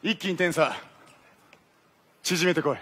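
A man's voice: a little high, a little slow, pushed and bright. A man acting a stern but encouraging volleyball coach in a stage play, emphatic and commanding. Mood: rousing. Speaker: stage actor. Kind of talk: theatrical sports stage acting. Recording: stage recording, music behind.

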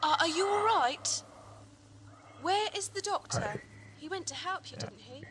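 A woman's voice: very high-pitched, expressive, a little slow, background noise. A woman playing an anxious, forthright young space traveller in a science-fiction audio drama. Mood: alarmed. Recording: amateur 1980s drama tape with music.

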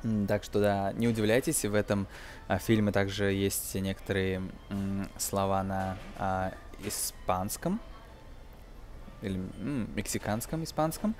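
Low-voiced young man teaching, hesitant. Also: unhurried, a little mellow, soft background music. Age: 20s. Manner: hesitant, explanatory, switches into English examples. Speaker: English teacher, video host. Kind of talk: casual lesson commentary on a film.

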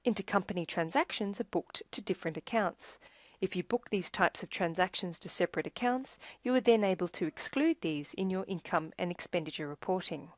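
A woman reading scripted instructional narration for a software tutorial in a measured, explanatory way. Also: fairly low pitch, no room sound, clean studio sound.

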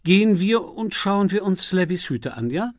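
An audiobook gentleman detective played by a man, aristocratic and breezy. He teases and exclaims playfully, in a raised voice recorded very dry. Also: high voice, lively intonation, moderate pace, no echo, voice pushed up. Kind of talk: audiobook character acting.

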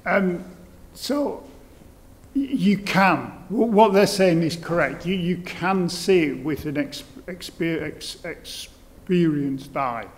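A man's voice: high-pitched, animated, slow pace. A male researcher answering audience questions in a lecture hall, often stumbling and restarting his words. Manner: stammering restarts. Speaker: presenter, researcher.

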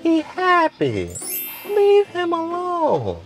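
A man's voice: very high voice, wildly swooping pitch, extremely slow, soft background music, full character voice. A man playing a cocky, wisecracking hired friend who makes joking threats in slang. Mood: brash.

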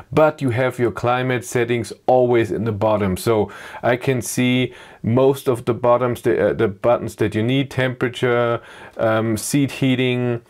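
A man's voice: mid-range voice, darkish tone. A middle-aged man with a German accent, commenting casually on slides from a small dim room and thinking aloud with many fillers and restarts. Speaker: YouTube car reviewer.

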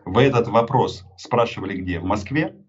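A man in his thirties with a Ukrainian accent, arguing forcefully in an interview over a video call and pressing his points with rhetorical questions. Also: on the low side, moderate pace. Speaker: journalist being interviewed. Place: indoor room with a poster on the wall. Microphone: webcam on a video call. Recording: dull lo-fi video call audio.

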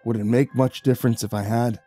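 A man's voice: medium pitch, fairly even intonation, fairly quick, warm tone, husky, faint music behind, natural voice. A man voicing a tired, guilty older father in a spoken horror story, sighing as he talks. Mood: weary.

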